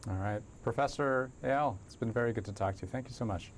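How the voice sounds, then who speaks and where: Male TV interviewer, mid-pitched, restarts and rephrases, faint background hiss. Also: fast talker. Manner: hesitant, rephrasing questions. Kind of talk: news interview.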